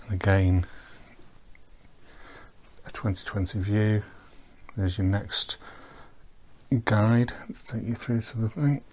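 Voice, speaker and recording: A man giving a relaxed, rambling voice-over walkthrough of a virtual boat tour, with frequent ums and restarts. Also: fairly low pitch, slow, pauses often. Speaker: tour guide. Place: recorded while wearing VR goggles, not on the boat.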